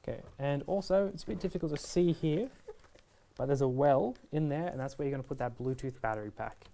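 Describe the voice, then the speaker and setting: A man's voice: mid-range voice, brisk, gravelly, warm tone, slight background noise. Easygoing young man giving a tutorial. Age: 20s. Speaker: product reviewer and presenter. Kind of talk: casual step-by-step tutorial. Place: indoor shop video studio, standing at a table.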